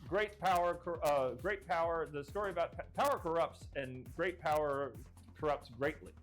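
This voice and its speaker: A male stream host with a slightly high voice that is turning hoarse. He rambles through jokes and restarts his sentences often. Faint music plays underneath. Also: normal speed, fairly bright tone, poor audio. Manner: rambling, jokey, stumbling restarts. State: getting hoarse. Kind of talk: live stream game show hosting.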